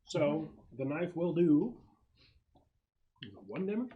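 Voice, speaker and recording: A man working as a game master, narrating a tabletop game in a halting way as he thinks aloud. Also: on the high side, lively intonation, muffled recording, noisy background.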